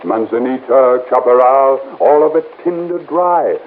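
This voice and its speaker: An American actor playing a fussy, worried insurance executive in 1950s radio drama, hesitant, wordy and formal. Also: male voice, mid-range voice, expressive, unhurried, narrow, tinny audio, close to an everyday voice. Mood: defensive, wordy. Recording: thin, faintly noisy old radio recording.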